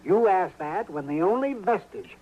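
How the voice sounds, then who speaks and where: An actor playing a crotchety, excitable town doctor in an old Western radio drama, flustered and querulous as he sputters along. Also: sits high, normal speed. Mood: grumbling, indignant. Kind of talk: 1950s Western radio comedy-drama acting. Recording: dull, noisy old radio recording.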